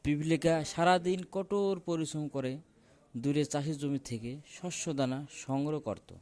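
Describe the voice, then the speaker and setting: A man's voice: a little high, a little slow, darkish tone. A man reading a scripted fable as a storyteller.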